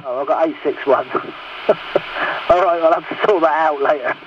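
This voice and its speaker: High-voiced man on muffled, noisy CB radio. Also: a little slow, distant mic. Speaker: CB radio operator. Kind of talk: casual CB radio chat.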